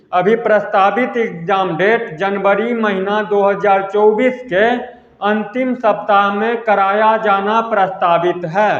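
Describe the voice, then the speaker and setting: A man gives an informal exam update to students, repeating himself emphatically and addressing them as friends. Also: sits high. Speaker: exam news presenter. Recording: echoey hall-like reverb, dull lo-fi sound.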